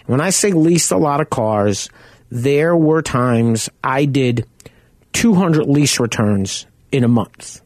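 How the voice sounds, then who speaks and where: A man with a loud voice hosts a radio talk show. He chats casually and rambles through personal stories in a middle pitch. The sound is dry and close, as if he is right at the studio mic. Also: expressive, takes it slowly, no room sound. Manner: conversational, rambling, anecdotal.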